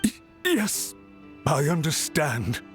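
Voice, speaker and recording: A cowed, submissive servant from a video game, fairly high and very lively in pitch. He speaks haltingly and with anxious deference. Music plays clearly behind him. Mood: cowed, apologetic. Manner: hesitant, deferential. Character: nervous, submissive male clan servant. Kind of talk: scripted video game dialogue.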